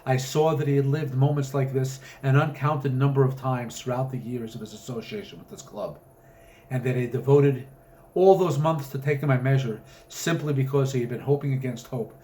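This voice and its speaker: A man's voice: medium pitch, warm tone, slight background noise, low-quality recording. A man reading his own short story aloud with a dramatic, literary narrating delivery.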